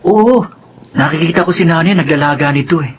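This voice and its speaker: A man's voice: on the high side, fairly quick, dull audio, slight background noise, close to an everyday voice. A man playing a resentful, cocky schoolboy son in a radio drama, brash and grumbling. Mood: assuring.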